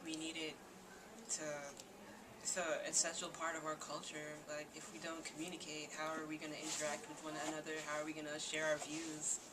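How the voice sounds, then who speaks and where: A woman's voice: low voice, monotone, a little fast, far from the mic, background noise. A teenage girl answering interview questions earnestly, with fillers. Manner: earnest, with likes. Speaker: student interviewee.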